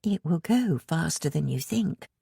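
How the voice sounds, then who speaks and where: A woman voicing an anxious, gently nagging mother in an audiobook, placating and softly insistent. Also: low-pitched, expressive, unhurried, creaky, breathy edge, no room sound, clean studio sound, exaggerated voice. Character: anxious, coaxing middle-aged mother, gently nagging her daughter.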